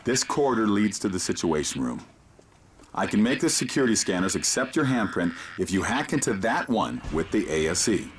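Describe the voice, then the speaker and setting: An actor playing a grave, urgent mission guide in a video game, giving deliberate, commanding instructions. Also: male voice, on the low side, very animated, noisy background. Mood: focused, instructive. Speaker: mission guide over radio. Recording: loud game music behind the voice.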